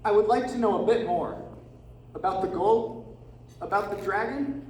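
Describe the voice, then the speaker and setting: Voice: medium pitch, moderate pace, darkish tone, lightly acted. Performer as a fussy little hobbit, echoey room. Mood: hesitant. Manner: polite but easily alarmed. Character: flustered, fussy, timid middle-aged hobbit homebody, male. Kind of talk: readers theatre stage performance. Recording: echoey room from far off, faint noise.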